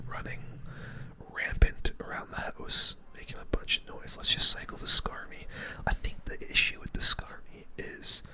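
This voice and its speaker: An ASMR gaming host giving casual play-by-play commentary in a hushed, breathy, unvoiced whisper with gamer slang. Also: moderate pace. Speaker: gaming video host playing a card battle game.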